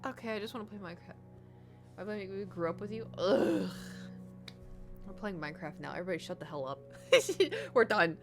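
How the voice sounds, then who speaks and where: A woman's voice: mid-range voice, animated, a little fast, long pauses. A woman from the United States, a gaming streamer rambling in casual chat and answering her chat. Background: background music.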